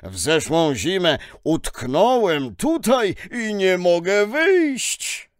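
A man playing a jolly old Santa Claus in a children's story, with drawn-out, booming speech. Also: big pitch swings, no room sound, clean studio sound, heavily acted.

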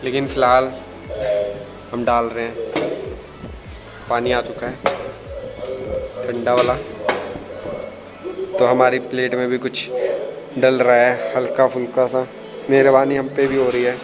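Man, chatty and teasing, phone-filmed food vlog in a restaurant, mid-pitched voice, music playing behind. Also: monotone, a little slow, long pauses, poor audio. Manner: chatty, teasing, mixes a little English. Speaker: food vlogger. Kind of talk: casual food vlog chat. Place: small restaurant, indoors.

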